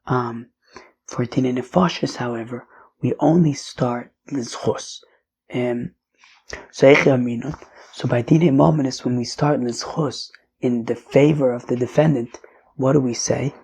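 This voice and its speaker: A man with a failing, hoarse voice teaching a daily Talmud lesson in a relaxed, chatty way, sometimes dropping to a whisper. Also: mid-range voice, measured pace, rough recording. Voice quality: raspy, drops to whispering. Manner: casual, with fillers and mixes in Hebrew and Aramaic terms. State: losing his voice, hoarse.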